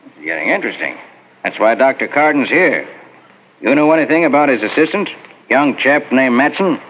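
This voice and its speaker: An actor playing a calm, firm state police officer with a folksy, unflustered manner in a 1940s radio drama. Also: male voice, medium pitch, brisk, long reverb tail, lightly acted. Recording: muffled 1940s radio recording.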